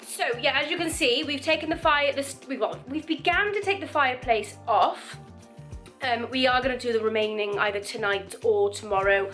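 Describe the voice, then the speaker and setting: A woman vlogging casually about renovating her living room, chatty and rambling, her voice croaky from dust. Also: high voice, moderate pace, a bit bright, soft background music. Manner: chatty, rambling, laughs often. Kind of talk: casual chatty vlog to camera.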